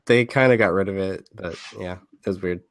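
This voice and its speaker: A man's voice: low voice, brisk. A laid-back man from Texas co-hosting a podcast, making brief remarks in casual chat. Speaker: podcast co-host.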